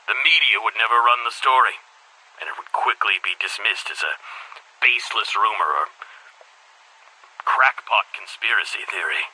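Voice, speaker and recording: A man playing a weary, cynical older headmaster who confides in a tape recorder, speaking in a husky rasp with brooding, deliberate storytelling, as in a video game audio log. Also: lowish voice, pushed and bright, slight background noise, heavily acted, low-quality recording. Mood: bitter, resigned.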